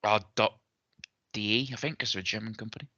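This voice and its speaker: A man's voice: on the low side, steady tone, fast talker, sharp tone, dry recording. A man co-hosting a casual whisky podcast, chatty and rambling, laughing easily as he talks. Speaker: podcast co-host. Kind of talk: casual chat. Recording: slightly dull lo-fi sound.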